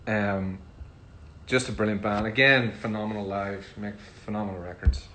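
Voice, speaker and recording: A middle-aged man with a Northern Irish accent, casually answering fan questions in a relaxed, chatty way with frequent ums. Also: on the low side, moderate pace, a little hiss or hum, low-quality recording. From Northern Ireland. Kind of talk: casual livestream Q&A.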